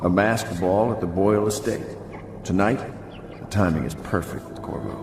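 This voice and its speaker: Very deep-voiced, dignified older admiral. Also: male voice, background noise. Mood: grave, resolute. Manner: measured, formal, authoritative. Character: stern, dignified older naval officer leading conspirators. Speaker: video game voice actor. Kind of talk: dramatic game cutscene dialogue. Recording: echoing room under loud music.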